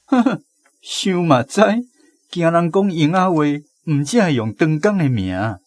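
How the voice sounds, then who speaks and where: A man's voice: a little high, expressive, slow pace, a little mellow, dry recording. A man with a Taiwanese accent giving scripted storytelling narration for a radio drama.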